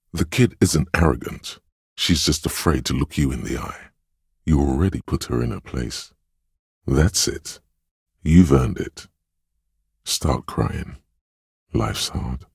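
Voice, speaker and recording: A man voicing a sensitive inner voice in a video game, narrating other people's feelings in a measured, gentle, literary way. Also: rumbling low, creaky, husky, no room sound, studio quality, full character voice. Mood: gently urging.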